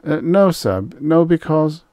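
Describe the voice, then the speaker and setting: A man's voice: fairly high pitch, big pitch swings, unhurried, mellow, dry recording, pitched above the performer's own voice. A man voicing a frightened, servile hunting guide who stammers and pleads as he reads a science fiction story. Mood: nervous. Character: terrified, pleading, cowardly male hunting guide.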